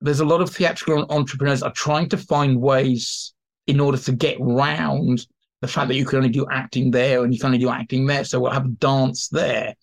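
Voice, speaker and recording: A man speaking as an expert guest in an interview, explaining theatre history in a colloquial, anecdotal way. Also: medium pitch. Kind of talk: informal explanatory interview talk.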